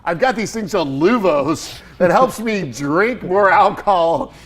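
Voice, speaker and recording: A congested man hosts a casual studio chat, his voice sitting a little high for a man. He tells stories with bursts of energy and laughs along the way. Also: unhurried. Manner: energetic storyteller, animated, laughing while telling stories. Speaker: podcast host.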